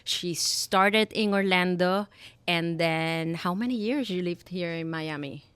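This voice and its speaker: A woman's voice: on the low side, slow pace, fairly bright tone, smooth, no room sound. A woman hosting a live podcast, introducing her guest and asking about her story. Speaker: podcast host. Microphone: live event microphone.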